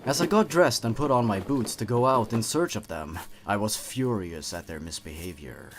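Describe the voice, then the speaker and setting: A man with a fairly low voice reads eerie short stories aloud in a measured, scripted way. His delivery grows hushed and quiet as the tension builds, and it keeps an even, deliberate pace. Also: slight background noise. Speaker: horror story narrator. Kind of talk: scripted horror narration.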